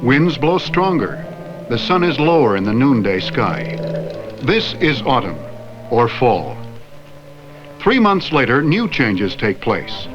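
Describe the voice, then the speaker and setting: Lively-voiced man narrating a vintage lesson. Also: medium pitch. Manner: slow, clear, teacherly delivery with questions to the viewer. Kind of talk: scripted educational narration. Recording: dull vintage film soundtrack with faint music.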